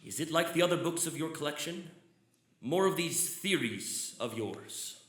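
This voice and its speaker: A man's voice: a little high, lively intonation, normal speed, a bit bright. A man playing an anguished occult scholar in a theatrical old-time radio drama, speaking in formal, grave, emphatic phrases. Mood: curious, skeptical. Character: anguished, haunted male occult scholar giving a statement. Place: theater stage, live performance. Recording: roomy live stage, music underneath. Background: clear music.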